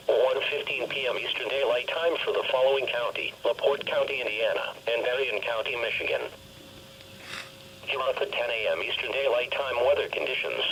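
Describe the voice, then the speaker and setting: A synthetic male announcer with a mid-pitched voice reads official weather bulletins. The delivery is formal, measured and impersonal. It comes through a weather radio with some noise around it. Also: fairly bright tone. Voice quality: synthetic text-to-speech voice. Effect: weather radio broadcast. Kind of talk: scripted weather alert and conditions readout. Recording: noisy weather radio picked up in a room. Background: background noise.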